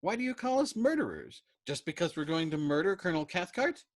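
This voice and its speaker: A man voicing an overwrought, pugnacious airman in an audiobook, loud and frantic, with a rough, quavering edge. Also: high-pitched, big pitch swings, a little fast, voice pushed up. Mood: affronted, yelling. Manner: loud, frantic, fails at whispering. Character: overwrought, pugnacious young male airman plotting murder.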